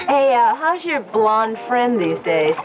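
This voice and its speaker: Loud music plays behind a flirty, gossipy woman at a bar in a radio drama. The voice is fairly high and very animated, breezy and familiar in its slang. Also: slow pace, phone-quality sound. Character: brash, flirty, gossipy female barfly. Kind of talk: old-time radio drama acting.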